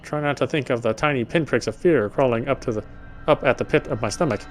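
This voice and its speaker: A man's voice: mid-range voice, fast. A man reading a horror story aloud in dramatic narration, sometimes stumbling and rereading phrases. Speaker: gameplay streamer reading a visual novel aloud. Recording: music behind the voice. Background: game music.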